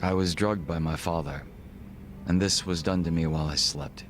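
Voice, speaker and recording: A man voicing a refined, reserved gangster in a video game cutscene, speaking in formal, measured, polite phrases. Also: low-pitched, fairly even intonation, a little hiss or hum, close to an everyday voice. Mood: somber, composed. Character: refined, reserved Korean gangster, a former body double.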